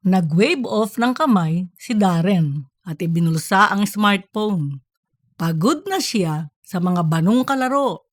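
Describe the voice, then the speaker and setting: A woman's voice: medium pitch, lively intonation, takes it slowly, no echo, studio quality. A woman reading scripted narration for a comedic fiction story. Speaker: audiobook narrator.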